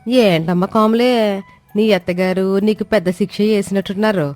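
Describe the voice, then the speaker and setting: A woman performs a meddling, two-faced older neighbour in an animated moral story. She drawls false sympathy in a raised voice. Music plays behind the dry recording. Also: mid-range voice, no room sound, lightly acted. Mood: mock sympathy. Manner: drawn-out mock sympathy.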